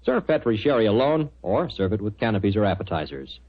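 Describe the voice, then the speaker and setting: A man's voice: lowish voice, big pitch swings, brisk, breathy edge. A man with an American accent announcing for a 1940s radio show in a chummy, emphatic salesman's manner. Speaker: radio announcer and sponsor's pitchman. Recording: muffled 1940s radio broadcast.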